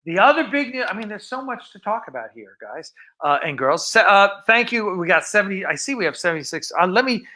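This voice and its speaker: A man's voice: high voice, big pitch swings, fairly quick, bright, forceful tone. A man hosting a casual livestream, chatting in a rambling, informal way. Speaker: host of a fan production livestream. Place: film set of a starship bridge.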